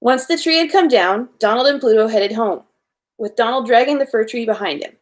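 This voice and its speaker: Female storyteller, higher-pitched voice, informal read-aloud of a children's bedtime tale, slightly unpolished delivery. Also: fairly quick.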